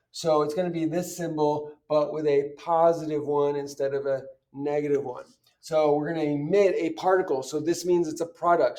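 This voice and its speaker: Guy teaching step by step, kinda higher pitched but soft mellow voice, asks stuff then answers it, mic a bit far away. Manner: explanatory, poses questions and answers them. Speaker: chemistry teacher. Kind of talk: informal step-by-step lesson, worked examples.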